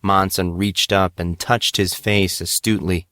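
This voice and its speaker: A man reading scripted fiction as narrator, in a measured, dramatic storytelling manner. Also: low voice, flat delivery, pushed and bright, no room sound, studio quality.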